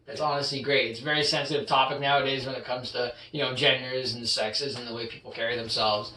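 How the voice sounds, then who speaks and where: Bright-voiced young man chatting in a roomy space. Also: mid-range voice, fairly even intonation, fast. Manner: rambling, filler-heavy, trailing off quietly. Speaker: podcast co-host. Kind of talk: casual chat. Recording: roomy echo, distant mic, faint hiss.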